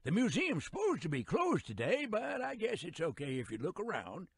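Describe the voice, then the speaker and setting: Raspy old rural American geezer, high voice, wildly animated, folksy game guide. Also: male voice, normal speed, no echo, exaggerated voice. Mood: folksy, teasing. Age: older. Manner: folksy, chuckling, rambling drawl. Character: folksy, teasing elderly male railroad museum caretaker. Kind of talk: character narration for a video game.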